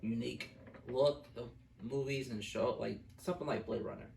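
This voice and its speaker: A man's voice: mid-range voice, normal speed, gravelly, darkish tone. A man giving a blunt, emphatic film review in casual chat as a co-host. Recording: roomy echo, distant microphone.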